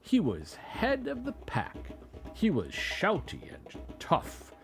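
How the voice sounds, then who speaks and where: A man narrating a children's bedtime story expressively, with dramatic, drawn-out phrasing. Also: high voice, huge pitch swings, creaky. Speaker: parent reading a bedtime story. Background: music and added sound effects.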